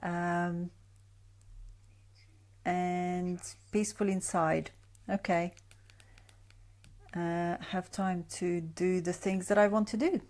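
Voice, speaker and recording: A woman's voice: lowish voice, steady tone, slow pace, long pauses, a little mellow. A woman from Hungary, a sleep coach giving a chatty live-stream talk with many fillers and greeting viewers by name. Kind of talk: Facebook Live talk to a group. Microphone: webcam or phone on a live stream.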